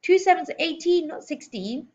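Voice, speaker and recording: Woman as a high-pitched, frightened small schoolboy. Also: expressive, normal speed, darkish tone, dull audio. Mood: panicked. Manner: high, gasping, crying out answers. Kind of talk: audiobook storybook character voice.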